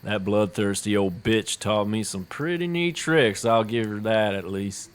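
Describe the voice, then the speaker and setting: Low, sly, taunting warlord played by a man. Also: expressive, smooth. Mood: mocking. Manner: mocking, sly, deliberate. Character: taunting, bitter male enemy warlord. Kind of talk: fantasy audio drama acting.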